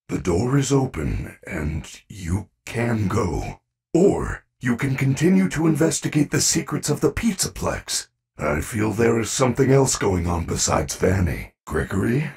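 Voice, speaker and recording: A man voicing a gentle, earnest animatronic bear in a video game, speaking formally and carefully with few contractions. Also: low-pitched, vocal fry, slightly rough, distant mic, full character voice.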